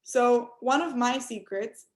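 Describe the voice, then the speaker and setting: A woman's voice: on the high side, slow pace. A young adult woman from Cuba giving an earnest motivational talk on a group call, speaking straight to her listeners. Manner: earnest, direct, addresses listeners as you all. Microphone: call audio.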